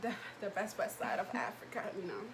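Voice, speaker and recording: A young woman from Ghana hosting a vlog, chatting casually and telling stories in a slangy, animated way. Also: medium pitch, a little fast, background noise. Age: young adult. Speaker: vlog host asking questions. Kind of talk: casual chat with friends, storytelling.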